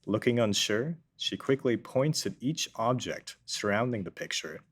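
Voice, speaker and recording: Low-voiced man narrating a script. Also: expressive, measured pace, slightly rough. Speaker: table read narrator. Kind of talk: scripted narration, reading stage directions. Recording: noticeable background noise.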